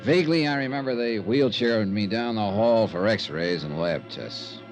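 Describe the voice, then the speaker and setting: A hard-boiled male investigator from a 1950s radio detective show. His low voice moves through lively swings of pitch, dry and clipped, with music behind the vintage recording. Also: a little slow. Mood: wry, matter-of-fact. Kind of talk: 1950s radio detective drama acting and narration.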